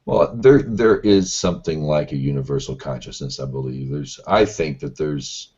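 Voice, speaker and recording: Male host with a very deep, husky voice, rambling and self-doubting on a lo-fi call. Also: normal speed, darkish tone. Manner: rambling, unsure of himself, many restarts. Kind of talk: casual online group discussion. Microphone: video call. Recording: dull lo-fi online call audio.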